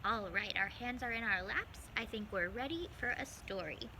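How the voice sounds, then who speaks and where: A woman hosting story time for young children, reading aloud in an animated, encouraging way with simple phrasing. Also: medium pitch, moderate pace, very noisy.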